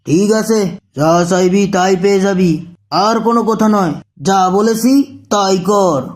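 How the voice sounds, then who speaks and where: A man's voice: sits high, takes it slowly. A man voicing a jealous, scheming shopkeeper in a children's moral cartoon, speaking in a commanding, declamatory way. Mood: scheming, bossy. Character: scheming, jealous male shopkeeper, bossy and accusing.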